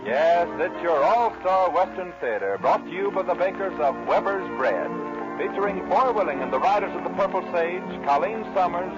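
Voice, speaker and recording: A man's voice: sits high, lively intonation, moderate pace. Old 1940s radio announcer guy hosting, scripted, music playing behind, muffled old recording. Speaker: radio show host and announcer. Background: western music.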